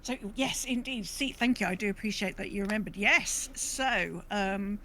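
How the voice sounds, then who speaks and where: A woman's voice: medium pitch, moderate pace, fairly bright tone, slight background noise. A woman co-hosting a podcast, chatting casually about gaming in a wry, self-correcting way. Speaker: podcast co-host.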